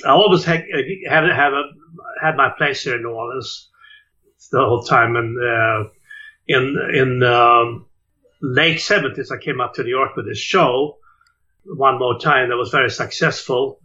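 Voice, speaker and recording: A man's voice: mid-range voice, a little slow, bright, forceful tone, muffled recording. A man in his seventies from Sweden, a jazz clarinetist telling his story in an interview, hesitant with many fillers and repeated words.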